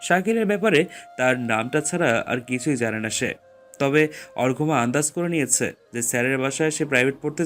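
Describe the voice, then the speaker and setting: Mid-pitched man narrating a story. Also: a bit bright.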